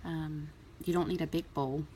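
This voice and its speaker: A woman in a relaxed, chatty way explaining a nail art tutorial, with plenty of ums. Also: low voice, normal speed, a little hiss or hum. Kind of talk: casual tutorial talk.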